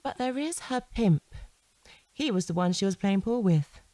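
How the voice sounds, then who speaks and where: An actress playing a shrewd, composed police detective who questions a suspect in a controlled, insistent way in an audio drama. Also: female voice, medium pitch, lively intonation, normal speed, warm tone, dry recording, close to an everyday voice. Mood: firm, pressing.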